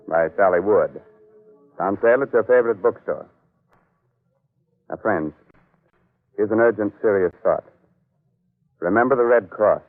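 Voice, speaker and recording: A low-voiced man hosts a 1940s radio mystery show, sly and mock-spooky. The old recording is muffled, with faint music behind him.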